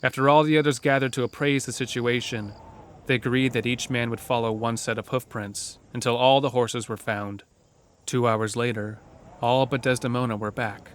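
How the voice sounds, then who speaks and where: A man's voice: medium pitch, steady tone, normal speed. A man narrating a scripted western horror story for an audio drama.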